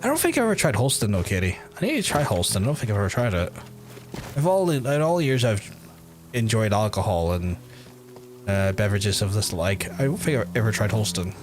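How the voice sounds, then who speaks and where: A man's voice: on the low side, sing-song, brisk, soft background music. A young Irish man chatting casually while livestreaming a video game, rambling with fillers and casual swearing. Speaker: game streamer.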